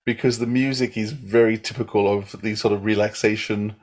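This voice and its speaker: A man's voice: fairly low pitch, fairly even intonation, a little fast. A man chatting casually on a podcast as a panellist, enthusiastic and rambling. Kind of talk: casual chat, reviewing.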